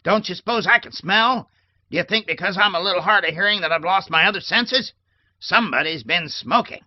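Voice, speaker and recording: A sharp-tongued, querulous old woman, as a male narrator puts her on in a storybook reading, snapping in a raised, scornful voice. She sounds dry and close, with a slightly dull, lo-fi tone. Her temper is sardonic and suspicious. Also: brisk, no room sound, close to an everyday voice. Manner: scornful, snarling, high and loud.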